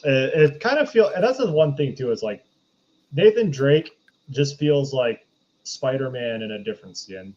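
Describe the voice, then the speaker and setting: Male podcast panelist, medium pitch, casual review talk, dull lo-fi mic. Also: expressive, moderate pace, a little mellow.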